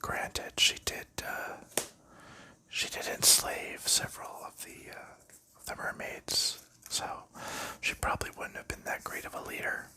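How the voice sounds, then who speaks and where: A man, host of an ASMR channel, softly whispering in a rambling, casual way while sleeving board game cards. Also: measured pace, rough recording. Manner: soft rambling whisper with light laughs and fillers.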